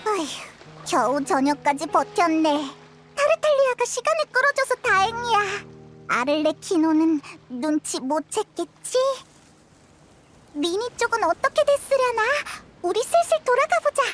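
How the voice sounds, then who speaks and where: A tiny childlike fairy companion from an anime game, with an extremely high, squeaky voice. The delivery is excitable and blunt, swinging widely in pitch. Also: female voice, a little slow, over music, heavily acted. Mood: relieved.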